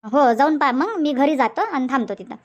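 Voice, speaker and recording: A woman's voice: high-pitched, expressive, a little fast. A woman voicing an inquisitive, reassuring village grandmother in a cartoon comedy, with a Varhadi Marathi accent, on a slightly dull recording. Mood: nosy, concerned. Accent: Varhadi Marathi from Vidarbha.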